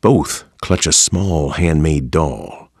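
A man voicing a grave, bookish narrator reading a horror game's monster journal in measured, formal, ominous phrasing. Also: a bass voice, animated, breathy edge, no echo, clean studio sound, full character voice.

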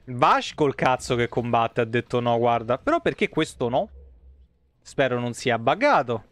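A man's voice: medium pitch, very animated, measured pace, a bit bright. A man, muttering casual, foul-mouthed commentary as he streams a game. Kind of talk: casual live gameplay commentary. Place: small indoor room, streaming setup.

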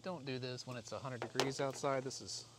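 A man's voice: medium pitch, fairly even intonation, fairly quick. An American man in his forties talking through a hands-on job in a casual, rambling, self-deprecating way. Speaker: vlogger doing a product installation. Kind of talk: casual hands-on how-to vlog. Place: outdoors in a driveway and inside a parked car. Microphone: camera or phone mic, arm's length to a few feet. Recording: noisy outdoor and in-car camera audio. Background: clear ambient noise.

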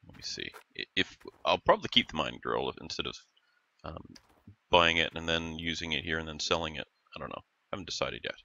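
A man's voice: low voice, sharp tone. A man hosting a gaming channel, casually narrating his gameplay while stuffed up and growly, thinking aloud and correcting himself as he goes. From Canada. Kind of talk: casual let's-play commentary.

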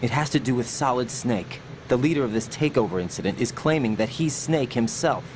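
An earnest young American male operative voiced by an actor in a video game cutscene. His mid-pitched voice is determined and serious, with clear vehicle noise and ambience behind it. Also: fairly quick, lightly acted. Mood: concerned, insistent.

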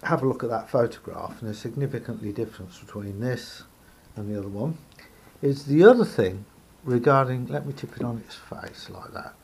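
A man with a gravelly, husky voice gives an unscripted hands-on demonstration, thinking aloud hesitantly as he explains. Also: on the low side, a little mellow. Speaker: hobbyist radio repairer. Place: indoor room at a table.

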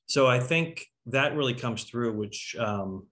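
A man's voice: medium pitch, expressive, slow, fairly bright tone. A middle-aged man hosting a casual talkback over a video call, asking questions informally. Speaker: host moderating a talkback. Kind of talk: casual interviewing. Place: home room on a video call. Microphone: video call microphone.

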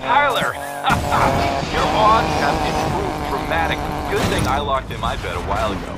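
A man's voice: a little high, animated, moderate pace. A man playing a smug, taunting gambler in a video game cutscene. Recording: loud music and engines over voice.

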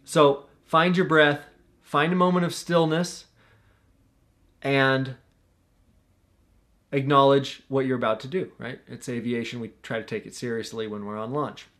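A man's voice: medium pitch, steady tone, a little slow, long pauses, a bit bright. A young man hosting a tutorial vlog, talking straight to the camera in an earnest, coaching way from a small room at home. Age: young adult. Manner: earnest, coaching, gestures with his hands. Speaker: paragliding instructor and channel host.

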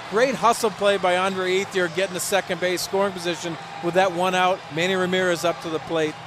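A man's voice: high voice, fairly even intonation, brisk. An American man giving live baseball analysis on radio, explaining plays conversationally and rephrasing as he goes. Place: stadium broadcast booth. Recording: noisy stadium crowd behind. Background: ballpark crowd and stadium ambience.

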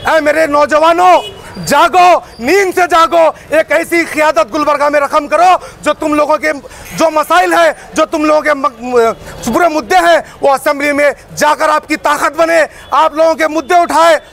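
A man gives an impassioned, forceful public statement as an activist, speaking emphatically outdoors to a news camera. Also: very high-pitched, normal speed, gravelly. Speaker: community activist giving a press statement. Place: busy open street outside shopfronts. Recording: outdoor street noise.

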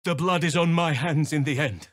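A man voicing a stoic, earnest druid devoted to nature in a video game, speaking in measured, formal fantasy phrasing. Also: fairly high pitch, fairly bright tone, no echo, clean studio sound, lightly acted. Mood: resolute. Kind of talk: video game character voice lines.

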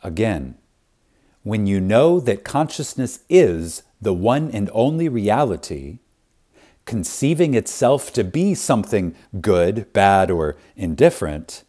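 A man narrating a spiritual audiobook in a reverent, deliberate manner, with dramatic pauses and strong emphasis. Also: fairly low pitch, darkish tone. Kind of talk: scripted audiobook reading of a spiritual text.

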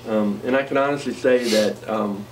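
A man's voice: lowish voice, normal speed, noisy background. A middle-aged man presenting a staff award at a school board meeting, sincere and hesitant with many ums. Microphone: far camera. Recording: distant voice in a meeting room.

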